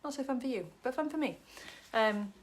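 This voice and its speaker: A woman's voice: high-pitched, lively intonation, fairly quick. A woman from Wales giving a casual, chatty Bible study talk to camera, full of ums and light jokes about herself. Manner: chatty, many ums, self-deprecating jokes.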